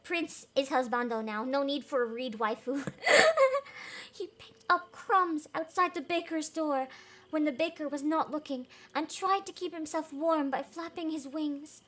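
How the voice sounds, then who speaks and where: A woman's voice: high voice, a little slow, a bit bright. A woman reading a fairy tale aloud as host, in an emotive, giggly way with casual reactions.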